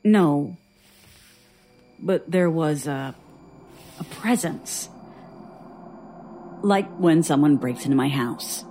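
A haunted woman recalls childhood terrors in a horror audio drama. She speaks very slowly and haltingly, with an uneasy, suspenseful hush and faint music underneath. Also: deep voice, animated. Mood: haunted, tense. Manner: slow, halting, hushed suspense. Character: haunted woman recounting frightening childhood memories to a doctor.

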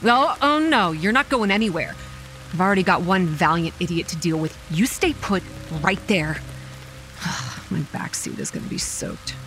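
Faint music and rain sit behind a young woman telling a horror story. She is grave and measured, a haunted campground manager with a dry, wry edge. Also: on the low side, expressive, poor audio. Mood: exasperated, sarcastic. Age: young adult. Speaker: narrator and lead actor. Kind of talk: dramatic first-person horror audio drama narration. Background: quiet music and rain.

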